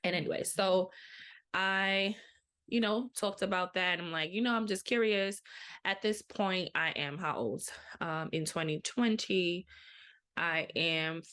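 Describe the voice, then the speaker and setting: A woman's voice: on the low side, measured pace, fairly bright tone, smooth. A woman in her thirties, a podcast host, talking candidly and casually about her own experience, acting out her thoughts. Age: 30s. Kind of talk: casual personal storytelling.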